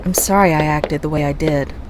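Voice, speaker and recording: A woman's voice: deep voice, fairly even intonation, a little fast, noisy background, lightly acted. A woman playing a defiant, sarcastic fighter pilot who argues with her commander in a fan audio drama. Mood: sardonic. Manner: sarcastic, argumentative.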